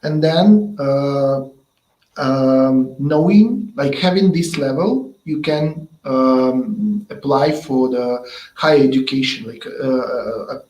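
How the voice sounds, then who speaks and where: A man who speaks Russian as his first language, interviewing a student in a casual, halting way as he searches for words. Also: mid-range voice, a little mellow, roomy sound, rough recording.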